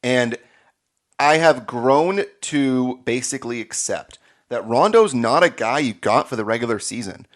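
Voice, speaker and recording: A man hosting a casual sports podcast, energetic and quick to laugh. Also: medium pitch. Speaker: podcast host.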